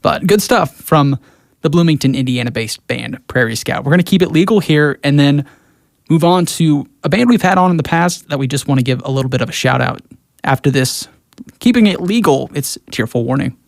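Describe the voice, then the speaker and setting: A man's voice: on the high side, expressive, brisk, gravelly, no room sound. An American man presenting a local music show on the radio, speaking from a studio.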